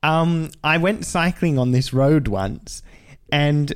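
A man hosting a casual podcast, telling a story in an animated way with lots of quick hedges. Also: on the high side, smooth. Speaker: podcast host. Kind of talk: casual chat.

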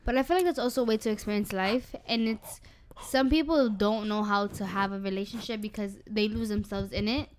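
A woman's voice: mid-range voice, a little fast, slight background noise. A teenage girl co-hosting a casual student panel chat, explaining her views at length in an earnest way. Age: teens. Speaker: student co-host.

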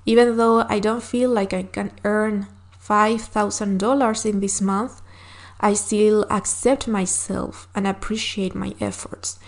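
A woman's voice: mid-range voice, steady tone, measured pace. A woman whose first language is Spanish, hosting a solo podcast and giving earnest, encouraging advice with frequent fillers and restarts. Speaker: podcast host.

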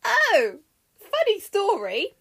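A woman's voice: high voice, sing-song, a little slow, fairly bright tone, full character voice. A British woman voicing a glib male messenger god who spins a tale casually and theatrically in a comic myth. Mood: grinning, glib.

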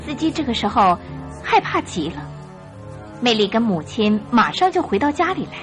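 A woman narrating a radio drama from a script in an expressive storytelling manner. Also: on the high side, moderate pace. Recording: radio drama with music behind.

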